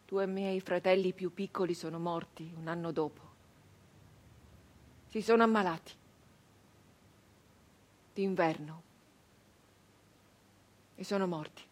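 Husky, grieving peasant woman, intense. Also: on the low side, fairly even intonation. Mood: mournful, subdued. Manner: halting, intense, repeating phrases obsessively. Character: grieving 19th-century southern Italian peasant woman, traumatized, vengeful. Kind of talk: theatrical dramatic monologue for radio.

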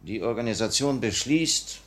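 A man playing a principled revolutionary leader who tries to keep the peace. His medium-pitched voice moves widely with each emphasis, in the manner of old radio drama. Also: animated, normal speed, a bit bright, close to an everyday voice. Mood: grave, decisive. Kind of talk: 1950 radio play acting. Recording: vintage 1950 radio recording.

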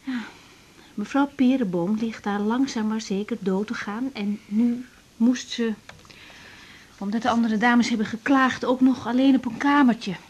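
A young woman doctor in a naturalistic radio drama, with a highish, husky voice. She is tender but troubled, speaking closely and hesitantly, with sighs. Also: measured pace, a little mellow. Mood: melancholy. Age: young adult. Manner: intimate, hesitant, sighing delivery.

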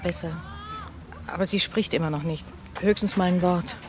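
A woman's voice: lowish voice, moderate pace, slight background noise, natural voice. An actress in a German radio crime drama playing a protective mother shaken by her daughter's kidnapping. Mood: subdued, grave.

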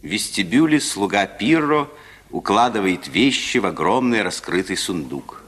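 An actor as a measured narrator, reading scripted scene directions for a 1950s radio drama. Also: male voice, on the low side, lively intonation, fairly bright tone. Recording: noisy 1950s radio recording.

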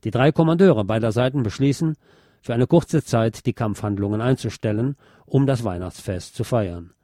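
A man's voice: medium pitch, normal speed, dark tone, no echo, clean studio sound. A man hosting a radio show, presenting a film review in a semi-scripted narrating style.

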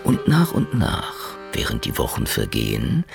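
An actor playing a battered, stubborn Soviet private detective, narrating a noir radio drama in a gravelly, brooding, sardonic voice. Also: male voice, extremely low pitch, huge pitch swings, measured pace, full character voice. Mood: wry, weary. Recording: loud music behind the voice. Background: music.

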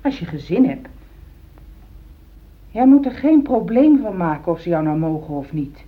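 A woman's voice: lowish voice, very animated, normal speed. An actress in a Dutch radio play, playing a pragmatic, ambitious housewife who insistently reassures and backs her husband. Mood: reassuring, insistent. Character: loyal, ambitious middle-aged housewife, pragmatic, supportive of husband. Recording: dull old radio recording.

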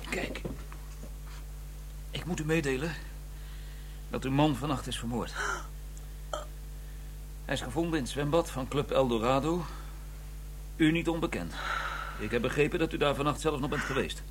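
An actor with a Netherlands Dutch accent playing a blunt, wry chief inspector in a radio drama, dryly persistent. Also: male voice, mid-range voice, moderate pace, pauses often, slight background noise, natural voice. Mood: hesitant, official.

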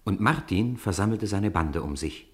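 A man narrating a 1950s radio play, telling a snowball fight with rising excitement. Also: sits low, normal speed. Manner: lively storytelling, rising with the fight. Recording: old radio play with crowd noise behind.

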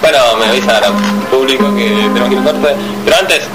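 An Argentine man hosts a mock radio interview at an ordinary male pitch. Guitar music runs loudly behind his voice. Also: big pitch swings, quick pace, poor audio. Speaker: host.

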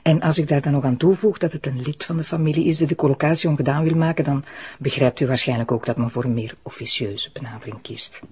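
An actress playing an earnest, assertive deputy prosecutor in a radio drama, polite and probing, with a Flemish accent. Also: female voice, sits low, fast talker, darkish tone, breathy edge.

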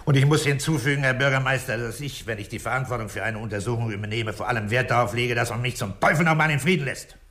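An actor playing a methodical, dryly impatient police commissioner in a 1960s German radio crime drama, speaking in a measured, authoritative way. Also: male voice, medium pitch, a bit bright, slight background noise, lightly acted. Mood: firm, pointed. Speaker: police commissioner leading an investigation.

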